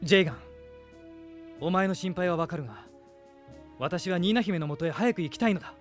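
A man playing a noble, resolute young prince in a Japanese radio drama, speaking in an earnest, measured way. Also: sits high, animated, faint music behind.

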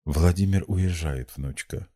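A man voicing a frail old grandfather in an audiobook, anguished and stern, in slow, pained, halting speech. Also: low voice, warm tone. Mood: quiet.

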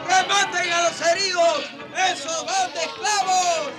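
An actor playing a stern, authoritarian Spanish colonial governor and commander, declamatory, in a radio novel. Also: male voice, extremely high pitch, fairly even intonation, a little slow. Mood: battle fury. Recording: music and crowd noise behind.

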